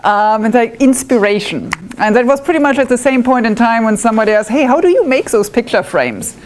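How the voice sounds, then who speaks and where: A woman's voice: on the high side, expressive, fairly quick, a little mellow, a little hiss or hum. A woman from northwest Germany with a German accent, giving a chatty, self-deprecating talk to a woodworking club audience. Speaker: club presenter. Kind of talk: live demonstration talk. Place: club meeting room with live audience.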